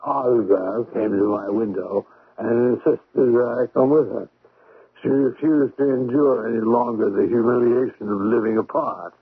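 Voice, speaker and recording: A boastful old-radio drunkard, grumbling and blustering his way along with a hot temper. His middling-pitched voice rambles through a thin, telephone-like radio recording. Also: slightly rough, no echo. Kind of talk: 1970s radio drama acting. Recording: noisy phone-like 1970s radio recording.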